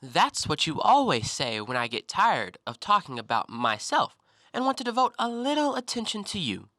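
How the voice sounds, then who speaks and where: An actor in an audio play, playing a talkative, self-assured intellectual who probes and needles with articulate, deliberate theatrical phrasing. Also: male voice, sits high, wildly swooping pitch, sharp tone, no echo, heavily acted. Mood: musing, teasing. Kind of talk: stage-style audio play monologue.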